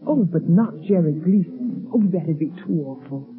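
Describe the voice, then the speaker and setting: A woman's voice: sits low, animated, moderate pace. An actress playing an inquisitive, concerned society lady, a crime fighter's companion, in 1930s radio drama style. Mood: alarmed, concerned. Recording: muffled 1930s radio recording, faint music.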